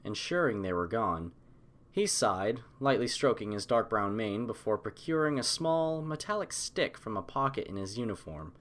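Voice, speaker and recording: A man reads scripted fiction as the narrator of an audio story. His voice is mid-pitched, and his intonation rises and falls in a lively, expressive way.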